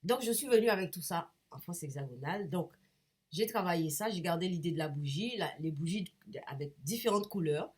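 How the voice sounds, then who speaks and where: Woman, low husky voice, has a cold, casual rambling talk, hesitant restarts. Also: lively intonation, fairly quick, a little mellow. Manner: hesitant, many restarts and fillers, searching for words. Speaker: painter and vlogger.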